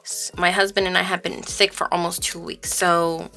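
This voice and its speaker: A woman vlogging about budgeting in casual, informal chat, speaking in a halting, stop-and-start way. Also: on the low side, little pitch movement, gravelly, pushed and bright, faint music behind. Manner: informal, halting storytelling interleaved with counting. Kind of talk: casual chat while counting cash aloud.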